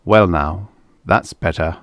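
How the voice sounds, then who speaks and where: A man's voice: sits low, takes it slowly, a bit bright, no room sound, clean studio sound. A man voicing a domineering, pompous diplomat husband in a story, with a contemptuous, commanding, scolding manner. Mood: calm, commanding.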